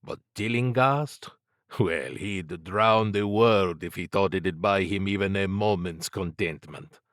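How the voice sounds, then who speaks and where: A man's voice: deep voice, slow, a bit bright, no echo, studio quality. A man voicing a wealthy, cigar-smoking fixer who talks in an expansive, wry, musing manner.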